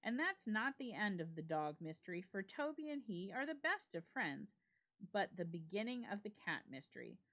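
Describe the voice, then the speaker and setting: A woman voicing a puzzled, uneasy gentleman host in a ghost story, with emphatic, questioning delivery. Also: medium pitch, very animated, normal speed. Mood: puzzled, insistent.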